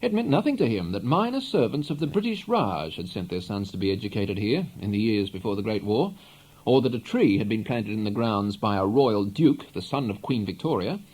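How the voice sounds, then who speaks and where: A man reading a literary novel aloud in an expressive, measured storytelling manner. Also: lowish voice, rough recording. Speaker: audiobook reader. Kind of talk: literary narration, read aloud.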